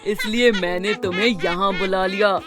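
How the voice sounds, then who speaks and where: A man's voice: high-pitched, little pitch movement, normal speed, close to an everyday voice. A man voicing a cruel undead graveyard witch in a cartoon, with boastful, menacing delivery. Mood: triumphant boasting.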